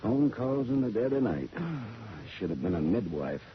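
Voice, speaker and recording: An American actor playing a wry, world-weary private detective in 1940s radio noir, sardonic and wisecracking. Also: male voice, on the low side, very animated, moderate pace, over loud music. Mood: weary, deadpan. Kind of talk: 1940s radio noir acting and first-person narration. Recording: dull, noisy old radio recording.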